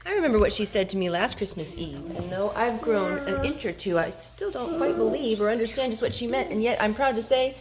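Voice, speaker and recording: A woman reading a rhyming picture book aloud to young children, with expressive, gently dramatic storytelling. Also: fairly high pitch, brisk, few pauses, crowd noise, rough recording.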